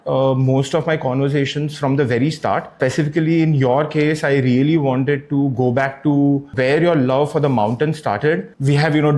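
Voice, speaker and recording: Guy hosting a climbing podcast, mid-pitch voice, rambles a bit with you-knows and likes. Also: darkish tone. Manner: rambling, with many fillers. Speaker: podcast host. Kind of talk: casual interview questions.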